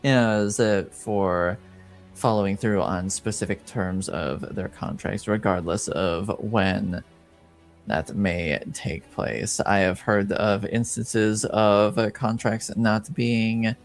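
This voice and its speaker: A man playing a slow, guarded, formal fantasy informant. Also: on the low side, steady tone, faint music behind. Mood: cautious, formal. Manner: measured, halting, formal diction. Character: formal, guarded male informant reporting intrigue in a fantasy world. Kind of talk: tabletop roleplay, improvised in character.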